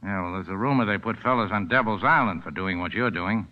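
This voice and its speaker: An actor playing a curt, cynical American boat skipper with a clipped, dry delivery in 1940s-style radio drama. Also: male voice, on the low side, fast talker, natural voice. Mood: firm, unmoved. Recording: muffled old radio recording.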